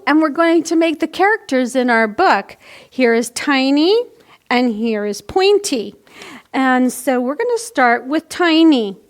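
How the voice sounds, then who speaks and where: A woman presenting a library storytime, casually explaining a children's craft in simple steps. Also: sits high.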